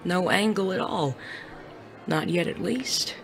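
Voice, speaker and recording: An actress playing a sly gentleman bandit in an audio Western, genteel, formal and wordy. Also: lowish voice, slow pace, faint music behind, poor audio. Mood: sly, courteous. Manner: genteel, formal, smooth-talking. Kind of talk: audio drama acting.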